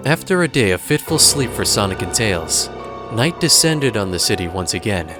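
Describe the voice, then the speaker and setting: Male narrator for audio drama: fairly low voice, dramatic scripted read, music bed. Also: big pitch swings, moderate pace.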